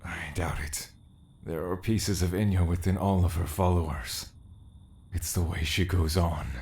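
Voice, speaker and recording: Casting: male actor as ageless immortal, very low creaky voice, half-whispered, patient, wistful, solemn audio drama, faint noise. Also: fairly even intonation, unhurried, a little mellow.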